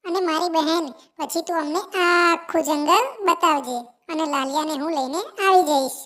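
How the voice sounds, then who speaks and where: A very high, childlike cartoon sparrow, acted by a woman. She is cheerful and eager, gushing in repeated exclamations as she chatters through an animated moral tale. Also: moderate pace. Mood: excited. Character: cheerful, eager, chatty female sparrow visiting her sister. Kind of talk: Gujarati animated moral story dialogue.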